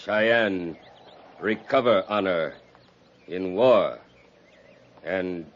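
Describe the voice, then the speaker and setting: Proud vengeful chief in a radio western: very slow, solemn, clipped broken English, low voice. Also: male voice. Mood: stern, wronged. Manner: halting, clipped broken English in short phrases. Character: proud, vengeful Cheyenne war chief, grave and unyielding. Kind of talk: 1950s radio drama acting. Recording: dull 1950s radio recording.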